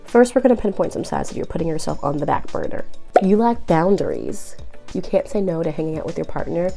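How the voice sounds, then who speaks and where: A young woman with a cold, sounding nasal and raspy, giving earnest, encouraging relationship advice straight to the viewer. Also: lowish voice, expressive, brisk, mellow, faint music behind. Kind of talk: direct-to-camera advice vlog.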